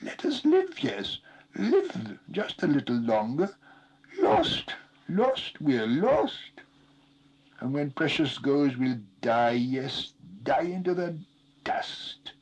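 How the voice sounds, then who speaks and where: A man's voice: fairly high pitch, big pitch swings, slow pace, voice pushed up, heavily acted. A man voicing a wretched, malicious creature in a storybook reading, hissing, whining and wheedling with repeated phrases. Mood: whimpering, pleading. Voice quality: hissing, whiny, breathy shrieks. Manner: repeats phrases, pleading, sibilant.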